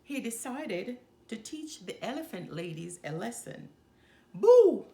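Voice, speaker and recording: Woman, children's read-aloud, unhurried, highly expressive, faint background noise. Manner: deliberate, expressive delivery. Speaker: storyteller.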